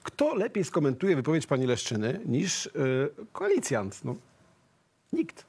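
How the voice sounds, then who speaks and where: A man's voice: on the high side, measured pace, breathy edge. A middle-aged man co-hosting a satirical politics show in a TV studio, joking and acting out imagined dialogue, on a lapel mic. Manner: jokey, animated, acts out imagined dialogue.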